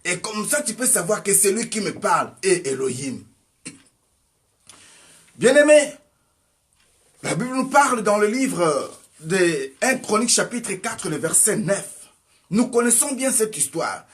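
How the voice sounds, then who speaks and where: A man preaching a sermon, asking his listeners question after question, his voice swinging between loud and soft. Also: high voice, very animated, unhurried, long pauses. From Congo. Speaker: evangelist preacher.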